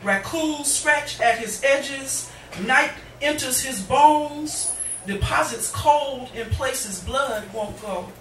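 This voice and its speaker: Slow, echoey woman reading poetry. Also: sits high, fairly bright tone, recorded from a distance. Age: middle-aged. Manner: deliberate, dramatic phrasing with pauses. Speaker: poet, featured reader. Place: indoor cafe reading. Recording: noisy, echoey room recorded from afar.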